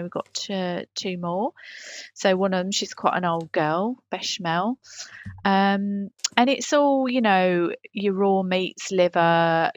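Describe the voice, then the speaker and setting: A woman's voice: mid-range voice, dry recording, muffled recording, close to an everyday voice. A British woman playing a chatty pop singer, rambling matter-of-factly as a podcast host. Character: chatty dog-owning pop singer answering fan questions. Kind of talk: comic in-character advice segment.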